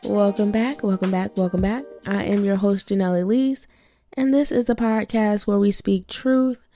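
A woman's voice: mid-range voice, fairly even intonation, moderate pace, dark tone, dry recording, faint music behind. A young woman hosting a faith-based podcast, congested from a cold, teaching in an earnest, preachy way and repeating her key phrases. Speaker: podcast host.

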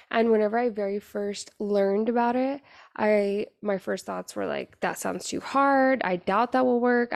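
A young woman with a raspy voice, hosting a casual solo podcast late at night and chatting in a rambling, confiding way. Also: medium pitch, a little mellow, no echo, clean studio sound. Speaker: podcast host.

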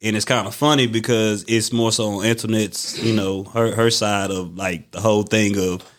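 A man's voice: fairly low pitch, fairly even intonation, normal speed. A man co-hosting a podcast in casual chat, rambling with many fillers. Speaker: podcast co-host.

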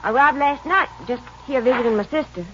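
An actress playing a high-voiced, evasive young woman. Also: close to an everyday voice. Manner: guarded, evasive, quick denials. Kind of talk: 1950s radio drama acting. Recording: dull lo-fi old radio broadcast with faint hiss.

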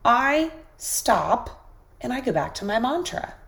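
Woman with a sick, squeaky voice. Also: sing-song, slow pace, gravelly, fairly bright tone. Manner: encouraging, coaching listeners directly. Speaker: podcast host. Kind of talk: motivational podcast monologue.

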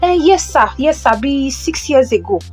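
A woman's voice: high-pitched, lively intonation, moderate pace, faint music behind. A woman with a Nigerian accent playing a polite, eager young mother in an audio drama. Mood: eager, deferential. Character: Tumi, eager, deferential young mother seeking a flat. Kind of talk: audio drama acting, Yoruba-English phone conversation.

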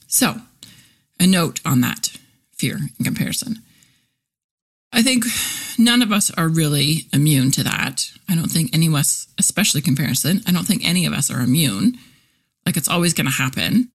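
Chatty woman in her forties, lowish voice. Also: animated, moderate pace, breathy edge. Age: 40s. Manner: chatty and candid, many fillers, laughs easily. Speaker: podcast host, copywriter and content teacher. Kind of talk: casual solo podcast chat.

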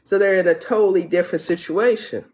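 A woman's voice: lowish voice, quick pace, darkish tone. A woman podiatrist, a guest on a radio call-in show, chatting and asking questions with frequent restarts.

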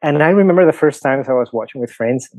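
A middle-aged priest from Peru speaks English with a Peruvian Spanish accent, working through his points slowly with fillers and everyday examples. His voice sits in a middle pitch. The recording is dry and close. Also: darkish tone, dry recording, poor audio. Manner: thoughtful, explains with examples, frequent fillers. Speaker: priest guest. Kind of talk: podcast interview answer.

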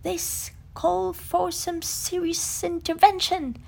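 A woman voicing an excitable storybook tiger with an eager, urgent manner. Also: very high-pitched, slow pace, gravelly, pitched above the performer's own voice, exaggerated voice. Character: bouncy, excitable male tiger.

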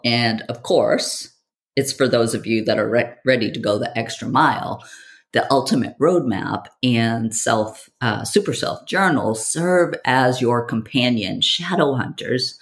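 A woman hosting a podcast, delivering a scripted self-help monologue in a theatrical, dramatic manner. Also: extremely low pitch. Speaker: podcast host.

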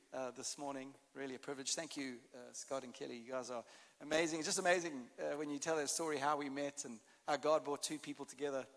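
A man's voice: a little high, fairly bright tone. A man with a South African accent, a guest pastor opening his sermon in a playful, teasing way. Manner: playful, teasing, quick to laugh. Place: large church sanctuary. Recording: long hall reverb.

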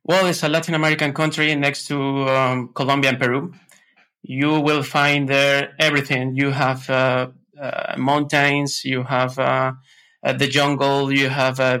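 A man from Ecuador with an Ecuadorian Spanish accent, chatting casually as an interview guest in slightly halting English. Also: medium pitch, little pitch movement, bright, forceful tone. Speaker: podcast guest, tech consultant.

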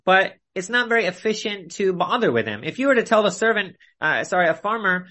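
A man teaching an expository Bible study in an earnest, instructive way with measured phrasing, speaking over an online video call. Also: sits high, very animated, pushed and bright.

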